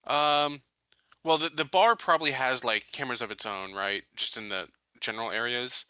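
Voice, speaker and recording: A man's voice: mid-range voice, normal speed, sharp tone, clear, resonant tone, no room sound. A man talking casually as a player in a tabletop roleplaying game.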